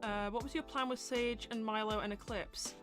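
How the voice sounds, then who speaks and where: A woman's voice: a little high, steady tone, normal speed, music in the background. A woman hosting a casual livestream Q&A, reading out and answering viewer messages in an informal, chatty way. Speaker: host of a fan series livestream Q&A.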